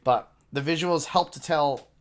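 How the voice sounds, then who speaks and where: A man giving casual, gushing reaction commentary as an online host, rambling excitedly through his thoughts. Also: fairly high pitch, measured pace. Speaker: reaction video host, VTuber.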